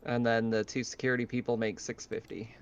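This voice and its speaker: A man's voice: medium pitch, little pitch movement, brisk, clear, resonant tone, muffled recording. A man running a tabletop game, casually explaining the setting and rules to players. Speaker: game master.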